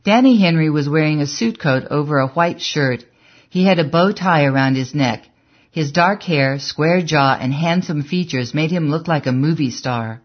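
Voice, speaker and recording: An audiobook narrator reading a story in a measured, even way, on a dull, lo-fi recording. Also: mid-range voice. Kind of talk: scripted narration.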